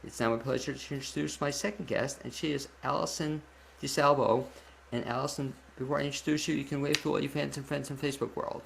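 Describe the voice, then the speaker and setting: A man hosting a radio interview show, speaking conversationally and a little haltingly between questions and announcements. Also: mid-range voice, steady tone, vocal fry, fairly bright tone, a little hiss or hum, rough recording.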